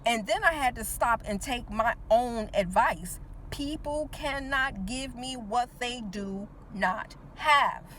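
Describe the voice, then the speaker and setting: A woman's voice: on the high side, slow, few pauses, fairly bright tone, a little hiss or hum. Woman in her forties, chatty motivational talk. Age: 40s. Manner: talks to the viewer with repeated "right?" checks and emphatic repetition. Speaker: teacher and motivational speaker. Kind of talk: casual motivational monologue.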